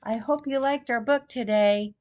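A woman in her sixties reading a children's storybook aloud in a deliberate, expressive way for young listeners. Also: fairly high pitch, lo-fi sound. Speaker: grandmother host reading picture books to children. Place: small plain room at home, seated in armchair.

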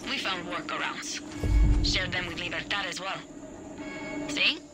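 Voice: medium pitch, measured pace, over loud music, close to an everyday voice. An actor playing a dry, clever hacker ally. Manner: dry, matter-of-fact.

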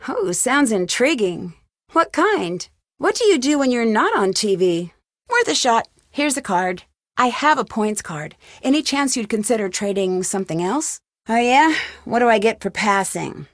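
Game heroine: curious young amateur sleuth, conversational and questioning, on the higher side, very dry recording. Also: female voice, very animated, measured pace, no echo. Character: inquisitive, friendly young female amateur sleuth. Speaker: game protagonist. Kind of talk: video game dialogue lines.

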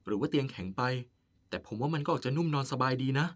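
A man's voice: medium pitch, expressive, quick pace, breathy edge. A man playing a caring, nagging, playful partner of an older man in an audio drama, polite yet chiding. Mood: concerned, chiding. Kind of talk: Thai audio drama acting.

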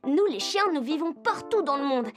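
A woman's voice: high voice, animated, moderate pace. A woman voicing a cheerful, giggly young female dog who hosts a cartoon. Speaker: host of the cartoon. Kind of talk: French cartoon dubbing. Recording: music behind.

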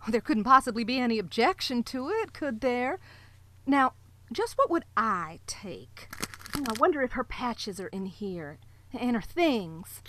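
A timid high-voiced sheriff's wife. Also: female voice, sing-song, measured pace. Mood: mild. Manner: hesitant, gentle, period rural speech. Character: timid sheriff's wife, young woman, soft. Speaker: Mrs Peters. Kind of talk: live audio drama. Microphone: home microphone in a closet.

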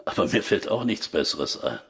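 An actor playing a gruff senior police investigator in a crime radio drama, husky, gravelly, deliberate and matter-of-fact. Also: male voice, low voice, big pitch swings, a bit bright, full character voice.

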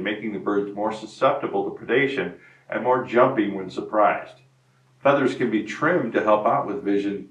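A man's voice: lowish voice, distant mic, highs cut off. Man narrating in a roomy echo. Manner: steady, informative, reads from a script. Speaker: host of a hobby farm channel. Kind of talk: scripted breed spotlight narration. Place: indoor studio set before a wooden barn-wall backdrop. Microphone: lapel mic, medium camera framing.

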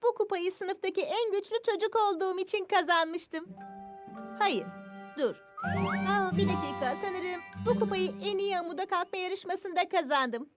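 A woman's voice: very high-pitched, normal speed, faint music behind. A woman voicing a confident, proud and kindly little preschool girl with a cheerful manner in a children's cartoon dub. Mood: proud, musing.

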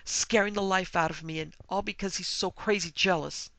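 A man's voice: high voice, steady tone, normal speed, gravelly, sharp tone, no room sound, pitched above the performer's own voice, full character voice, low-quality recording. A man voicing a sobbing, agitated, indignant married woman at a party in a dramatic story reading. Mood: shaken outrage. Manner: weeping, breathless, agitated.

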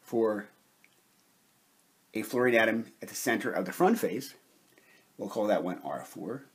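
A man with a slightly husky voice giving a measured, methodical lecture, explaining step by step as an instructor. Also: lowish voice, lively intonation. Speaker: chemistry instructor.

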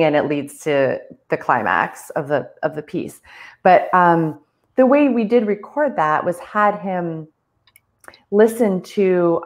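A woman talking casually as a theatre artist on a panel, speaking over a video call from a room at home. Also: lowish voice.

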